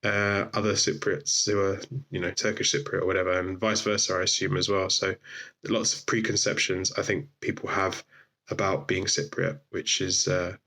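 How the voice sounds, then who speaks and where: Man, low pitch, flat, thoughtful, hedging. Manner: measured, hedging with fillers. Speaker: interviewee. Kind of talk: thoughtful interview answer.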